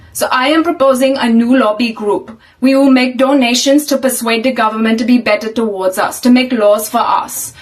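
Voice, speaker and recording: Woman doing a deadpan put-on Indian accent. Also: on the high side, lightly acted. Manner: deadpan earnest satire. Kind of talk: comedy sketch monologue.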